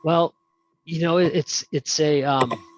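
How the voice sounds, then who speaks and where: A man's voice: on the high side, fairly even intonation, takes it slowly. A man answering questions in a casual webinar talk, hesitant with many ums and restarts, heard over a video call. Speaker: guest speaker on insurance. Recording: muffled lo-fi video call audio.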